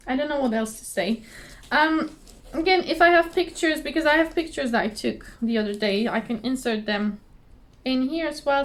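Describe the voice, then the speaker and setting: Giggly hoarse woman chatting about stitching. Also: on the high side, a bit bright. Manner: giggly, rambling, self-deprecating. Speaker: cross-stitch vlogger. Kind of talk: casual chatty craft vlog. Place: indoors at home, trees outside behind her.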